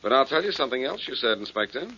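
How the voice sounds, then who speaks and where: A man's voice: medium pitch, very animated, very fast, pushed and bright, husky, exaggerated voice. A man playing a cocky, wisecracking detective with a glib, needling manner in a 1940s radio drama. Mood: breezy teasing. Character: cocky, wisecracking amateur detective, teasing and quietly menacing. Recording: muffled, noisy 1940s radio recording.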